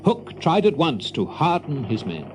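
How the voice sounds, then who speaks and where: A man's voice: fairly high pitch, wildly swooping pitch, unhurried, heavy background noise. A man narrating a children's adventure story in a dramatic, scripted storybook style. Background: music.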